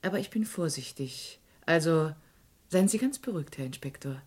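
A woman's voice: low voice, lively intonation, normal speed, darkish tone, slightly rough. An actress playing a clever, teasing young woman journalist with a wry, probing, poised manner in a German radio crime drama. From Florence, Italy (as the figure). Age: young adult.

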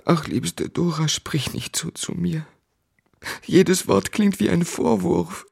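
Gravelly, grieving young husband. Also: male voice, high voice, fairly even intonation, slow, a little mellow, no echo, studio quality, heavily acted. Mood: pleading, sorrowful. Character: grieving young husband narrating his life story. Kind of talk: literary radio play narration and acting.

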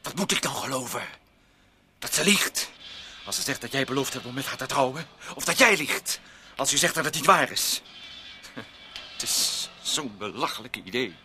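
A scornful, domineering man in an old radio drama. His very sharp, forceful voice rises and falls widely as he taunts, with faint noise on the recording. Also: medium pitch, animated, moderate pace, pauses often. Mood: menacing, controlling. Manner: sneering, taunting, menacing. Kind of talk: Dutch radio play acting.

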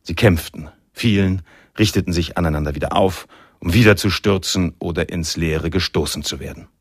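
World-weary narrator for a crime radio play, deep, dry studio sound, melancholy. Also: male voice, normal speed, husky, dry recording. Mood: grave, suspenseful. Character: sombre, reflective male noir narrator, world-weary. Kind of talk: scripted literary narration in a German radio drama.

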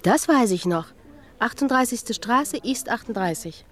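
An actress playing a sharp-tongued, world-wise former bar waitress in a German radio drama, sardonic and knowing. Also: female voice, on the high side, expressive, a little slow. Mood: recalling.

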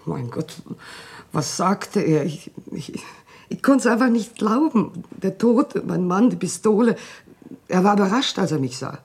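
Husky, distraught, evasive wife. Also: female voice, medium pitch, expressive, a little mellow. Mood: distraught, shaken. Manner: hesitant, halting, agitated. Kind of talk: German radio play acting, dramatic dialogue.

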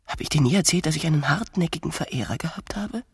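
Willful wife, very breathy husky voice, teasing and pointed, naturalistic radio drama, reverberant hall sound. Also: female voice, sits low, lively intonation, fast, heavily acted. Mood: tense. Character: willful, teasing, jealous wife, romantic and quick to accuse.

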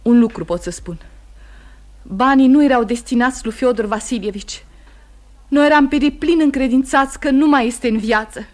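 High-voiced proud, distressed wife played by a woman. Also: close to an everyday voice. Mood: firm, wounded. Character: proud, distressed accused wife protesting her innocence. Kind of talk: mid-century radio theatre acting.

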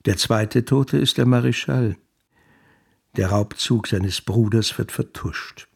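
A wry author narrating his own story in a radio play. The voice is low, creaky and husky, with a reflective, conversational delivery that sounds pleased with itself. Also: a little mellow, full character voice. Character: wry, self-satisfied male novelist musing on his characters. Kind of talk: narrating in a German radio drama.

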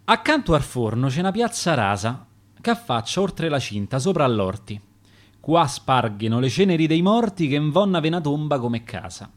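Male poet, Roman accent, theatrical sonnet reader, lively intonation. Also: fairly high pitch, normal speed. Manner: rhythmic, theatrical recitation. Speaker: poet reading his own verse. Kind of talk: recited dialect sonnets, radio theatre.

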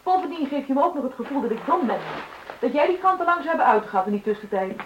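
Exasperated wife, radio play, lively pitch, argumentative bite, noisy old recording. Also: female voice, fast, dull audio. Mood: bitter, sarcastic. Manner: argumentative, sarcastic, pointed. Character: exasperated, sharp-tongued wife, twenty years married.

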